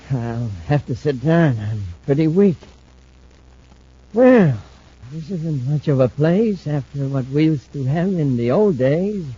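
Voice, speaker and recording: An actor playing a weakened, obsessed spacer in a 1950s radio drama, brooding and intense. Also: male voice, on the high side, animated, slow pace, dark tone, a little hiss or hum. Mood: weary, bitter. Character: thin, weakened, obsessed male spacer seeking his old partner. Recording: muffled old-time radio recording.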